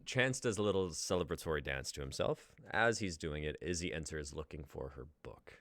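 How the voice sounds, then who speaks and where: A man's voice: rumbling low, a little fast, a bit bright. A man in his twenties, a playwright chatting casually and reading out stage directions. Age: 20s.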